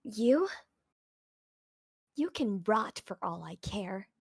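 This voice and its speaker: A woman's voice: mid-range voice, sing-song, slow pace, darkish tone, breathy edge, full character voice. A woman playing a lonely, defiant young girl in a dramatic, emphatic visual novel dub. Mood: cold contempt. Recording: music under the voice.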